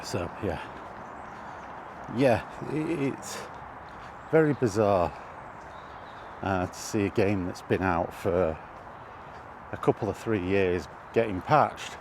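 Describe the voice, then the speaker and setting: A British man giving rambling, casual commentary while walking outdoors, halting and thinking aloud as he goes. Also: fairly low pitch. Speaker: video host. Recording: outdoor ambient noise throughout.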